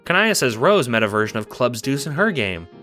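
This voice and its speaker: A man playing an excitable, affectionate young cat-like alien troll girl, rambling playfully as narrator of a fan audio drama. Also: medium pitch, fairly quick. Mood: cheerful reminiscing. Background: music.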